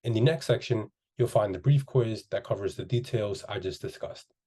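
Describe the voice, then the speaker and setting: A man in his thirties narrates a scripted training presentation. His voice sits on the low side and is very soft-edged and mellow. He delivers each point in a measured, polished corporate manner. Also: husky.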